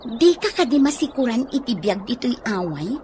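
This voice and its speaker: A woman's voice: a little high, sing-song, moderate pace, a little mellow, slight background noise. An actress in a radio drama playing a restless young rural woman eager for city life, with a Filipino accent.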